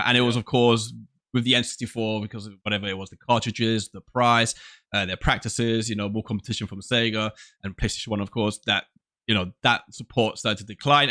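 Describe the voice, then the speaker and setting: 40 something guy hosting a gaming podcast, bright voice, restarts a lot. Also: mid-range voice. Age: 40s. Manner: rambling, many restarts, laughs often. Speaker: podcast host. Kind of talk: casual video game chat.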